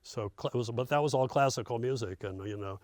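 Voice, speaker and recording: A man's voice: medium pitch, fast, darkish tone, dry recording. An older American man, a veteran radio host, reminisces casually with a dry, self-deprecating humour. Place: radio studio.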